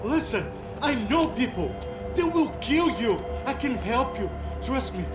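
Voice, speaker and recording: A man's voice: very high voice, sing-song, unhurried, echoey room, music in the background, full character voice. An actor playing a terrified doctor who begs and bargains for his life, speaking in a frantic, stammering way. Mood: desperate pleading.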